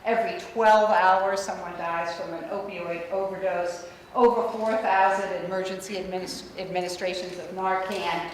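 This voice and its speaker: A woman's voice: medium pitch, fairly even intonation, normal speed, a little hiss or hum. Echoey American woman lecturing from afar. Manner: conversational, emphatic, repeats points. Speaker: physician speaker. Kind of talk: conference presentation. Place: hotel conference room. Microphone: no mic, room pickup. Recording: echoey hall from far off.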